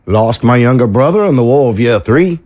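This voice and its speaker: An actor playing a courteous, knowledgeable chauffeur with a husky voice and ornate, formal speech in an audio drama. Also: male voice, mid-range voice, lively intonation, brisk, a little mellow, natural voice. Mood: proper, informative.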